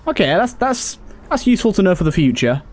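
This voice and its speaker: A man's voice: high-pitched, very animated, fast talker, darkish tone. A man giving casual let's play commentary as a gaming host, thinking aloud and often repeating his phrases. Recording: clear background game ambience. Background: video game ambience and effects.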